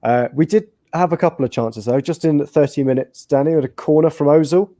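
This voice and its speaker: Easygoing Northern English podcast host. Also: male voice, on the high side, fairly quick, warm tone. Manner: easygoing, leads the conversation. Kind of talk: casual football chat.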